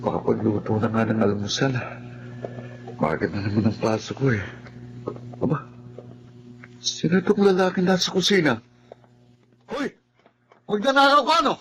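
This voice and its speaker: A man's voice: mid-range voice, normal speed, long pauses, fairly bright tone, slight background noise, close to an everyday voice, low-quality recording. A man playing an exasperated, hardworking adult son, complaining and incredulous, in a Filipino drama. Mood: startled, angry. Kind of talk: Filipino radio-style drama acting.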